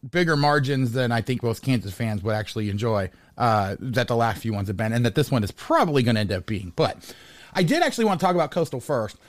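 A man's voice: mid-range voice, no room sound, studio quality. A man hosting a sports podcast in casual talk, rambling, often repeating words and restarting his sentences.

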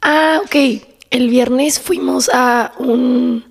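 A woman in her twenties with a Mexican accent, hoarse and nearly voiceless, chatting casually as a podcast co-host in two mixed languages. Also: high-pitched, steady tone, very slow. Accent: Mexican Spanish. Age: 20s. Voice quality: breathy, cracking into whisper. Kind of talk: casual chat, mixing Spanish and English.

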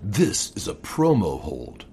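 A man reads a scripted promotional announcement in a formal, measured manner. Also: low-pitched, huge pitch swings, warm tone, soft background music.

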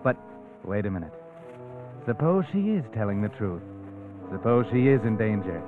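Tinny vintage radio sound with clear music behind it carries an insinuating suspense narrator. His lowish voice nudges the listener through the tale like a nagging inner voice. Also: big pitch swings, normal speed. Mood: insinuating, urging. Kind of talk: old-time radio drama narration, second person. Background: dramatic music.

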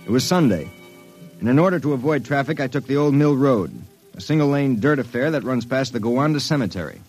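An actor playing a wry, slangy, hard-boiled private detective in an old-time radio drama. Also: male voice, medium pitch, lively intonation, brisk, a little mellow, slightly rough, soft background music. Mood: grim, wry. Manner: wry, slangy tough-guy delivery. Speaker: narrator and lead actor. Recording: vintage radio drama recording.

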